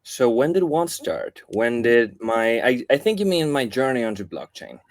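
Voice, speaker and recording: A man in his thirties with an Argentine accent, speaking as an interview guest, thoughtful and pausing to restart his sentences. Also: mid-range voice, sing-song, no echo. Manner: thoughtful, with frequent restarts and fillers. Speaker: guest, foundation chief of staff. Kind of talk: casual interview talk.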